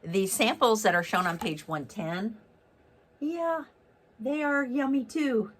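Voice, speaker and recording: A man with a head cold, sounding raspy and clogged up, chatting upbeat through a card-making demonstration as host. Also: very high-pitched, slow, fairly bright tone. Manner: chatty and upbeat, with many fillers and self-corrections. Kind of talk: casual card-making tutorial.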